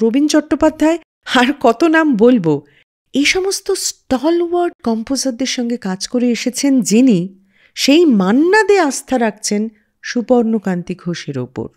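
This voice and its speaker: Expressive woman radio host, lively high voice. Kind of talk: music radio presenting with anecdotes.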